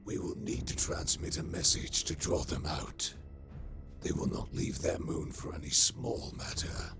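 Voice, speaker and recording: A man playing a gravelly, blunt traitor officer. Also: rumbling low, animated, measured pace, exaggerated voice. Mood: matter-of-fact. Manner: dry, matter-of-fact. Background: music.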